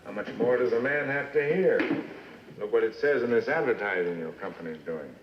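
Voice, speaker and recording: A man's voice: mid-range voice, a little fast, slight background noise, natural voice. An actor playing a skeptical, commanding sheriff in an old western, challenging and pointed in his delivery. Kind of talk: old western film acting. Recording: dull echoey old film sound, music behind.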